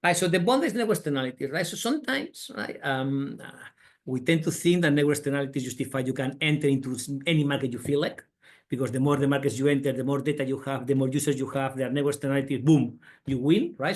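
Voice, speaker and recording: A man's voice: medium pitch, quick pace. A middle-aged man with a Spanish accent giving a webinar lecture as a business school professor, peppering his talk with "right?" and calling things very cool. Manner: tag questions and "right?" fillers, enthusiastic. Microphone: computer mic on a video call.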